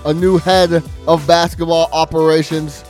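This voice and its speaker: A man from Chicago hosting a casual sports talk podcast over a call, hyped up, slangy and quick to laugh. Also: a little high, little pitch movement, darkish tone, faint music behind. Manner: hype, slangy, laughs often. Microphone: remote call.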